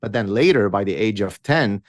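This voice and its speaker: Low-voiced wry man from Lebanon. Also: animated, normal speed, dry recording, studio quality. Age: 50s. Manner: wry, self-mocking, frequent restarts and fillers. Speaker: guest author. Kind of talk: conversational interview storytelling.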